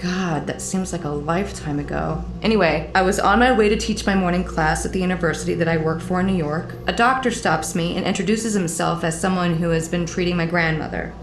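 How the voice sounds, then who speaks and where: A woman's voice: on the low side, fairly quick, few pauses, noisy background, close to an everyday voice. A woman acting an anxious, earnest young academic in a naturalistic audio drama. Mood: earnest, troubled. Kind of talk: naturalistic full-cast audio drama dialogue. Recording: echoey small space, music underneath. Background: music.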